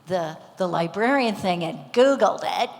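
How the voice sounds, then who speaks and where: A woman's voice: medium pitch, lively intonation, moderate pace. A woman interviewer putting questions in an onstage conversation. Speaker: interviewer, librarian. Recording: reverberant hall with background noise.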